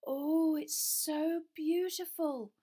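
A woman's voice: sits high, fairly even intonation, drawn-out words, warm tone, voice pushed up. A woman voicing a starry-eyed teenage schoolgirl who gushes breathlessly. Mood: awed. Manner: breathless, gushing.

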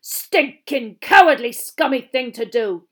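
Storybook role, female reader: fierce old male professor, gravelly, growling, menacing, blunt delivery. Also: sits high, normal speed, fairly bright tone, voice pushed up. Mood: angry, growling.

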